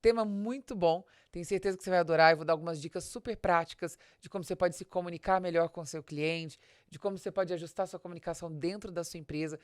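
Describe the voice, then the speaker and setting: Brazilian woman doing a business podcast, slightly low voice, really dry recording, talks straight to the listener and explains stuff. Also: steady tone, fast, few pauses, darkish tone, no room sound. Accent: Brazilian Portuguese. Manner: direct, addresses listener with rhetorical questions and examples. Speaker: podcast host and business advisor.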